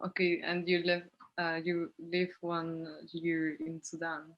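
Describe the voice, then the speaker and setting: A woman's voice: lowish voice, completely flat delivery, takes it slowly, dull audio. A young woman in a casual personal conversation, speaking informally and peppering her sentences with "like".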